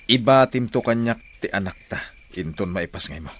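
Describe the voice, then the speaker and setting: A man's voice: fairly low pitch, animated, normal speed, close to an everyday voice. A man playing a stern, unyielding, domineering husband in an audio drama. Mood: cold, threatening. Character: stern, unyielding husband rejecting his wife's child.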